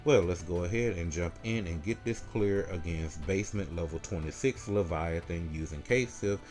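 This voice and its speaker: A man's voice: sits low, normal speed, a little mellow. A man giving casual, step-by-step live commentary as he guides viewers through a video game battle. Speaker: gameplay guide creator and commentator. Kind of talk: live gameplay commentary, walkthrough. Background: game music behind the voice.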